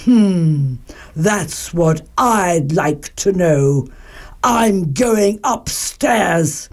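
Storybook papa bear, put on by a woman: big, gruff, growly, slow and emphatic. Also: fairly low pitch, lively intonation, full character voice. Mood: grumbling. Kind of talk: children's storybook character voice.

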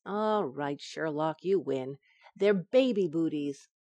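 Radio sitcom wife: woman actor, coy wisecracker, lowish voice, close dry sound, teasing delivery. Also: animated, slow, mellow, no room sound, heavily acted. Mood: evasive, wry. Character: wisecracking, coy, affectionate young housewife. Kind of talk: old-time radio sitcom acting.

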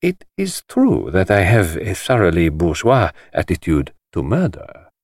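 A shrewd, courteous detective in a mystery audiobook. He speaks slowly and formally, with careful precision and a widely varied melody, in a dry close recording. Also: sits low, huge pitch swings, no echo, full character voice. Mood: measured, reserved. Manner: slow, precise, measured and formal. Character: grave, courteous, shrewd middle-aged male foreign detective. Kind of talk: dramatised audiobook dialogue.

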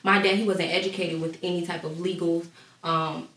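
A woman's voice: fairly low pitch, flat delivery, normal speed, fairly bright tone, a little hiss or hum. A young woman, an entrepreneur, talking casually with many fillers during a panel discussion. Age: young adult. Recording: echoey room, distant mic.

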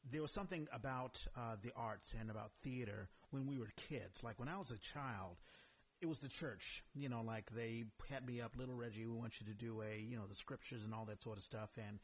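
A man's voice: medium pitch, brisk, slightly rough. A man hosting a podcast interview, asking loose, rambling questions and often murmuring agreement. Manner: frequent short backchannels, loose questions. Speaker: podcast host. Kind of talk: casual interview chat.

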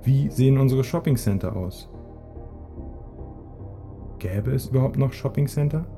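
A man's voice: mid-range voice, lively intonation, unhurried, dark tone. A man narrating a scripted audio play, addressing the listener directly. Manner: direct address with questions and instructions. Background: music.

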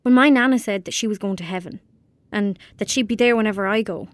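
A woman's voice: mid-range voice, fast, no echo, lightly acted. Woman acting as young rural Irish girl, earnest, imaginative, matter-of-fact, audio drama, close dry sound. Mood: earnest, sombre.

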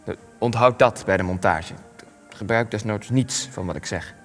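A frail old war veteran in a radio play. His voice sits fairly low and he muses haltingly, wistful and bitter, repeating himself. Faint music plays behind him. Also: fairly bright tone, in a large hall. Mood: confused, hesitant. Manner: hesitant, rambling, musing, with stammered repetitions. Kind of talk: radio drama acting.

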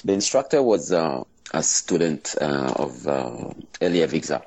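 Very deep gravelly man with Israeli accent. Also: animated, darkish tone. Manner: halting, many pauses and fillers. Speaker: martial arts school owner. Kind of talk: casual interview answers.

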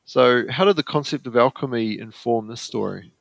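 A man interviewing an author, reading out measured, prepared questions. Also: mid-range voice, vocal fry, dry recording.

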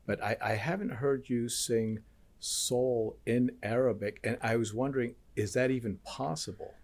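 A man hosting an interview, asking questions in a halting way with many restarts and encouraging murmurs. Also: medium pitch, steady tone, darkish tone. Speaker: host. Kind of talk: conversational interview.

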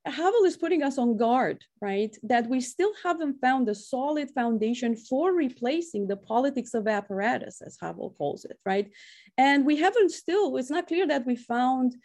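A woman's voice: high voice, lively intonation, moderate pace, a little mellow. A woman giving an academic conference talk, ending nearly every phrase with a questioning "right?". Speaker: academic speaker.

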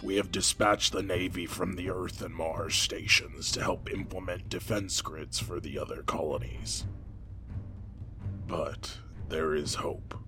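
Military general, very low pitch, solemn, measured, commanding, audio drama, clear music underneath. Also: male voice. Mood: grave, commanding. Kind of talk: sci-fi audio drama acting, formal briefing.

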